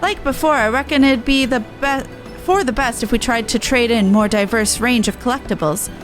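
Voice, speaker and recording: A woman's voice: on the high side, normal speed, faint music behind, natural voice. A woman voicing a young cat-folk resistance soldier in a game, earnest, battle-worn and blunt. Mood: playful, businesslike. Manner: earnest, blunt, rough-and-ready phrasing. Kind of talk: game dialogue voice-over.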